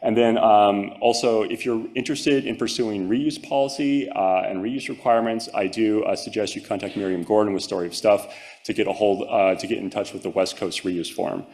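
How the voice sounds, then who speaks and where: Man, fairly low voice, conference presenter, casual, filler-heavy answers. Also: fairly quick, darkish tone. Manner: casual, many fillers and restarts.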